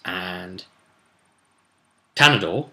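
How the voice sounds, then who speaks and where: British male, bright forceful voice, unhurried game master recap, chatty with playful asides. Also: low-pitched, expressive. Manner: chatty, digressive, with playful asides and emphatic bursts. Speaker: game master recapping a tabletop role-play session. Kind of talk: casual animated recap to camera.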